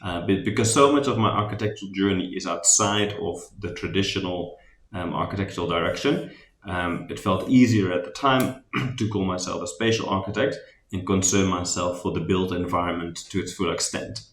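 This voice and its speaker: Deep-voiced Dutch man, echoey room. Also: normal speed. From Netherlands. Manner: relaxed, talkative, many ums and restarts. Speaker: spatial design architect presenting a workshop recap. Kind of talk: recorded presentation recap.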